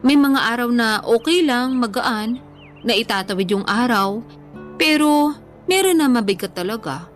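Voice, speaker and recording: Actress as earnest, higher-voiced young woman, music behind. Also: lively intonation, moderate pace, close to an everyday voice. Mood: regretful confiding. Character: earnest young woman on a weight-loss journey, hopeful. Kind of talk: Tagalog radio drama dialogue, conversational acting.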